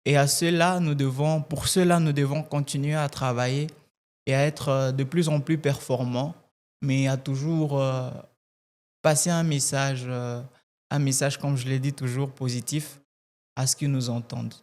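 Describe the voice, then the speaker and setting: A man from Kasai-Oriental with Tshiluba as his first language, a gospel singer answering interview questions in a measured way and often repeating words as he looks for them. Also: medium pitch, fairly even intonation, darkish tone. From Kasai-Oriental, DR Congo. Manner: measured, repeats words while searching for phrasing.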